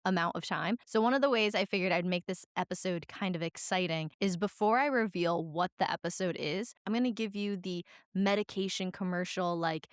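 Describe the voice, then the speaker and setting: A woman's voice: fairly low pitch, a little fast, few pauses, clear, resonant tone, no echo. Woman in her twenties, podcast host, under the weather, voice on the edge of cracking, rambling and self-deprecating, dry close mic. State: sick, voice cracking. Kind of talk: solo podcast monologue.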